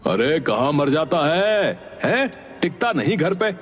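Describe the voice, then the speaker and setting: A man's voice: fairly high pitch, sing-song, a little slow. A man playing a scolding middle-aged father in a cartoon story, curt and bossy. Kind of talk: Hindi cartoon story acting. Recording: reverberant hall sound with faint music.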